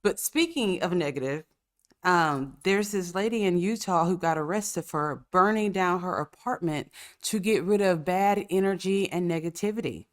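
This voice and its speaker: A woman's voice: lowish voice, normal speed. Congested lady host chatting on her podcast, sassy and cussing, laughs a lot. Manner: sassy, laughing, profane storytelling. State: congested, coughing. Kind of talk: casual chat.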